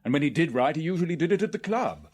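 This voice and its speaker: An affable army major with an upper-class English accent. His voice sits fairly high for a man and is lively in intonation, with chummy, clipped slang in radio play style. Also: quick pace, slightly rough. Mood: hearty, welcoming. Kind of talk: radio drama acting.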